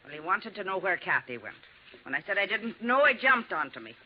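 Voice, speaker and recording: A woman's voice: mid-range voice, very animated, brisk, natural voice. An actress playing a feisty, plainspoken New York landlady in a 1950s radio drama, with folksy diction and a blunt, salty manner. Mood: defensive. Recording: dull, lightly noisy 1950s radio recording.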